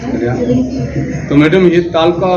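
Temple caretaker, man, middle-aged, from Sindh. Slightly high voice, informal explanation, roomy and muffled sound. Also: moderate pace. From Sindh, Pakistan. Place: open doorway of a small temple. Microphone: phone camera a few metres away. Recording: echoey, dull phone audio with music.

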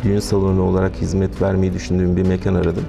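Gravelly-voiced man in his forties. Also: low voice, completely flat delivery, very fast, over music. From Turkey. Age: 40s. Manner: hesitant, many filler sounds, earnest. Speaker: wedding hall owner. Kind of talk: business interview. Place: decorated wedding hall, indoors.

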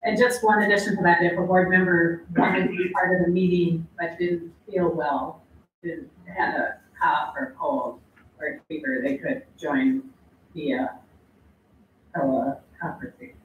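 A woman's voice: on the low side, fairly even intonation, measured pace, slight background noise. Board member woman, distant and echoey. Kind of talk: meeting discussion. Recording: echoey, distant, dull audio.

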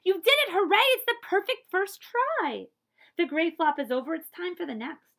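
An adventurous, exuberant old great-aunt from a children's storybook , played by a woman reading aloud. The voice is high and emphatic, full of exclamations and encouragement. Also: lively intonation, normal speed, a bit bright, full character voice. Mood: excited, encouraging.

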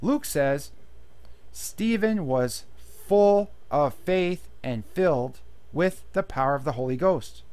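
Slow-paced man teaching the Bible. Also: fairly high pitch, expressive, darkish tone, clean tone. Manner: reads scripture aloud, then explains it plainly. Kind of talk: verse-by-verse Bible teaching over slides. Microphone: voice-over on slideshow.

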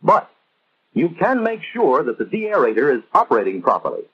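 An American man narrating a 1950s instructional film in a measured, emphatic, teacherly way, on an old film soundtrack. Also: fairly high pitch, wildly swooping pitch, breathy edge, highs cut off.

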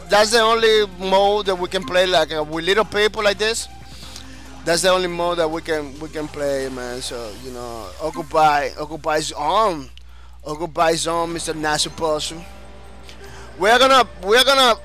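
A man's voice: high voice, bright, forceful tone. A man from the Dominican Republic with a Spanish accent, a game streamer chatting energetically with viewers and restarting his phrases often. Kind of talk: live-stream chat, reading viewer comments.

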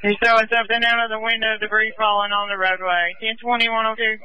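Male dispatcher, high voice, crisp and clear, dry sound, short relayed updates. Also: little pitch movement, fast talker, no room sound, muffled recording. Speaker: police dispatcher. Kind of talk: repeating radio updates as dispatch.

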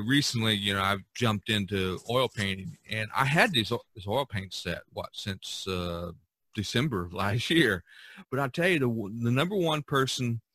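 A man hosting a casual podcast conversation, talking in a halting, conversational way. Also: fairly low pitch, pushed and bright, no echo. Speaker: podcast host.

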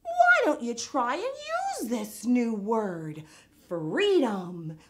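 Casting: a kids' show word teacher, zany and excitable, high voice with wild swoops. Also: female voice, drawn-out words, very noisy, heavily acted. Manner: exaggerated, theatrical, playful.

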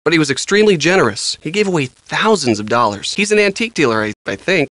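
Animated young American handyman. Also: a little high, fast talker, no echo. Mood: friendly, casual. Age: young adult. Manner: casual, earnest, slightly defensive. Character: earnest young handyman and history student. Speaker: game voice actor. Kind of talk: conversational video game dialogue.

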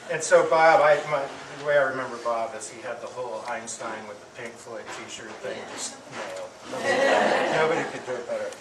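Middle-aged man, affectionate remembrance speech, halting delivery, distant mic, clear background noise. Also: medium pitch, reverberant. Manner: halting, with pauses and repeated words. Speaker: family speaker giving a eulogy. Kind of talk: eulogy, affectionate personal remembrance to an audience. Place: medium-sized indoor hall with audience.

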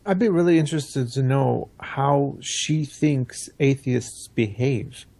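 A man's voice: medium pitch, slow pace, vocal fry, darkish tone. A man on a podcast panel, talking casually with some swearing. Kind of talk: casual, profane chat.

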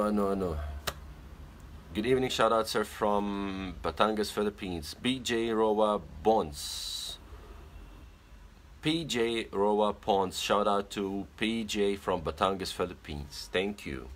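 Young man from Egypt, low-ish voice, easygoing livestream host in a small bedroom, light room noise. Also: slow. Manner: friendly, greets viewers by name. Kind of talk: casual livestream chat reading comments. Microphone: phone camera at arm's length.

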